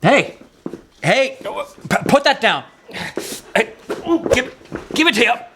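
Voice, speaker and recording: Weary, wry captive knight, slow delivery. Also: male voice, extremely high pitch, very animated, noisy background, exaggerated voice. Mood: playful, scolding. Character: captured European knight, a weary, wry chess-playing mentor. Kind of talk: radio historical drama acting.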